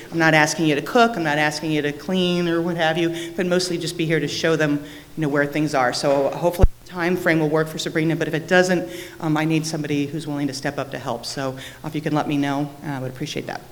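A food ministry co-chair making a halting church announcement, full of fillers and questions to the room. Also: medium pitch, slight background noise. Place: church sanctuary. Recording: long reverb of a church hall.

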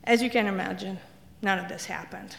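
A woman's voice: medium pitch, fairly even intonation, fairly quick, vocal fry, a little hiss or hum. A woman from Italy, telling wry, self-deprecating stories as a speaker in a church. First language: Italian. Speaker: guest speaker. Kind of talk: humorous personal testimony talk. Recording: echoey hall reverb.

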